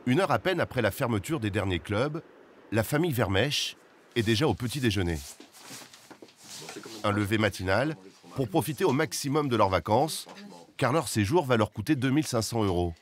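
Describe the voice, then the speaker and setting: A man reading calm, even documentary narration. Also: medium pitch, normal speed, pauses often, slight background noise. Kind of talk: scripted narration.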